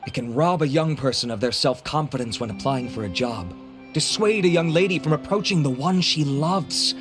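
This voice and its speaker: An actor playing a handsome, vain, suave inventor who gives a grandiloquent, theatrical sales pitch in a video game. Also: male voice, normal speed, close to an everyday voice. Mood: grandiose. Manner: pompous, grandiloquent. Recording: music bed behind voice.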